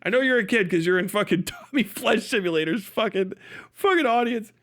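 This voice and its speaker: A man's voice: high-pitched, lively intonation, brisk, fairly bright tone. A man hosting a livestream, reacting in casual, profane slang with an incredulous, mocking manner. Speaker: livestream host reacting to a video.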